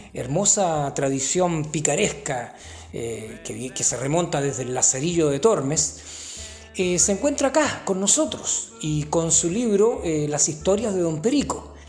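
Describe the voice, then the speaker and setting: A woman's voice: deep voice, animated, a little slow, darkish tone, soft background music. A woman hosting a mock talk-show interview, mock-formal and full of hesitant fillers. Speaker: host.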